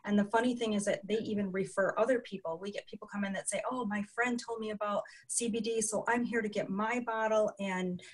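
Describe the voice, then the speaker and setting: A woman's voice: medium pitch, steady tone, a little fast, few pauses, poor audio. An older woman, a retired health coach, answering interview questions over a video call from a small room at home, in an earnest, matter-of-fact way. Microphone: webcam video call.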